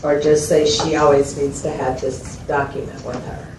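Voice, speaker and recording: A woman's voice: low-pitched, flat delivery, moderate pace, close to an everyday voice. A woman playing a cautious, plain-spoken mental health client in a role-played consultation, speaking simply and briefly. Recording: echoey, distant mic, noisy room.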